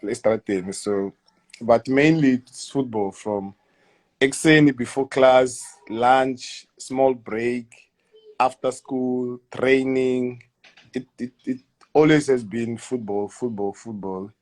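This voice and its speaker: A man in his thirties with a South African accent, answering interview questions in a hesitant, halting way, often repeating words and letting sentences trail off quietly. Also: mid-range voice. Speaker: guest footballer. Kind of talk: casual interview.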